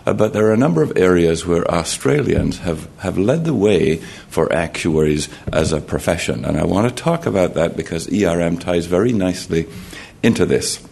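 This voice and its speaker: A man with a Jamaican accent giving a formal talk at a conference podium, measured and halting, often clearing his throat and restarting. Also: sits low, lively intonation, a little mellow. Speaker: conference speaker. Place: conference hall.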